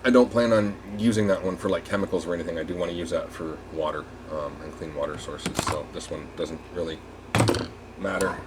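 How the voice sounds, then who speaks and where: A man's voice: low voice, fairly even intonation, a little fast, heavy background noise. A man giving a casual hands-on repair demonstration, rambling and thinking aloud as he works. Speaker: RV repair technician presenting a tutorial.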